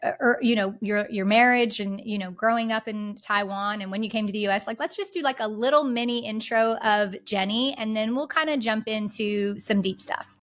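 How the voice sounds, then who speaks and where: A woman's voice: on the high side, normal speed, hardly any pauses, fairly bright tone. A woman hosting an interview podcast, introducing her guest and asking questions with enthusiasm and lots of casual fillers. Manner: enthusiastic, many fillers like "like" and "you know". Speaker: podcast host.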